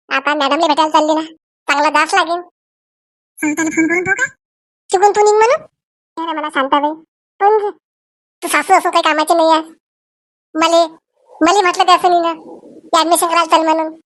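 A woman voicing an excitable, fussing rural auntie in a homemade comedy cartoon, exclaiming and repeating herself in Varhadi Marathi. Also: very high-pitched, brisk, long pauses, no echo, studio quality. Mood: chatty. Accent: Varhadi Marathi from Vidarbha. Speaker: cartoon channel's main character and presenter.

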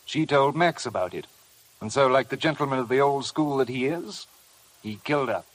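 A man's voice: medium pitch, animated, moderate pace, natural voice, poor audio. An actor in a 1950s radio drama playing a smug, sly male blackmailer with a taunting, insistent manner. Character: sly, mocking male blackmailer, smugly needling.

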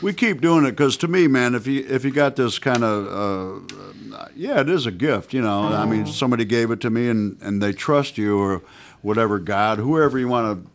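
Older rock guitarist guest, gravelly low voice, relaxed chatty delivery. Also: male voice, moderate pace, soft background music. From United States. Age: 60s. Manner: laid-back, says "man" and "you know" constantly. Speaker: guest musician. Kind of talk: casual radio interview chat. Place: small radio studio, indoors. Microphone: stand mic at guitar.

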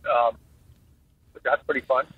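A man in his fifties, a guest in an interview, talking in a jokey, animated way with many halting restarts over a phone line. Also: medium pitch, breathy edge, no echo. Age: 50s. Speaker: guest drummer. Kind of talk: casual interview talk.

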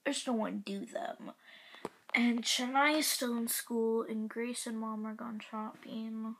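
A woman's voice: on the high side, steady tone, measured pace, slight background noise, poor audio. A young woman vlogging casually from her bedroom, rambling and self-mocking, often dropping to a whisper, a little congested, filmed on a phone held at arm's length. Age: young adult. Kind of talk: casual vlog chat. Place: bedroom, indoors. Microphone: phone camera at arm's length.

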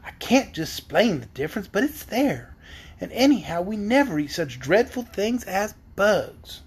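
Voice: medium pitch, very animated, moderate pace, slightly rough, heavily acted. A reader voicing an earnest, slightly prim young country girl in a storybook, curious and polite. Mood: defensive, earnest.

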